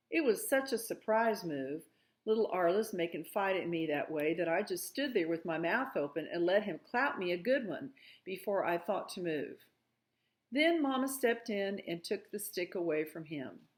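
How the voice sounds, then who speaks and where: A woman narrating a storybook aloud in an expressive way. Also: lowish voice.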